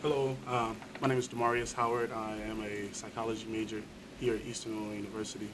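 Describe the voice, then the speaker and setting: A man's voice: lowish voice, flat delivery, fast talker. A young man, a psychology student on a university panel, speaking hesitantly with many fillers. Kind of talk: panel talk. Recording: distant mic with room noise.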